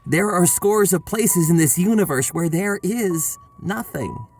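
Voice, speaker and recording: A man playing a sinister, commanding astronomer in a radio horror drama, with a creaky voice and cryptic, ominous delivery. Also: high-pitched, a little slow, darkish tone, soft background music. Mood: cryptic, menacing.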